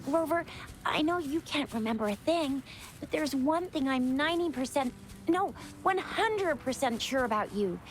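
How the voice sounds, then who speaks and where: A woman playing a bubbly, chatty, eager young female patroller in a video game, speaking in an excitable, informal way. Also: sits high, normal speed, slight background noise. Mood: upbeat, chatty. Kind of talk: video game character voice acting. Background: background game music.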